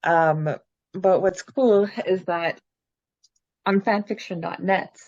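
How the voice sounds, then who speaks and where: Low-voiced chatty woman podcaster. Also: takes it slowly, a little mellow, no echo, muffled recording. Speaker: podcast co-host. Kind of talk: casual chat and reading listener questions aloud.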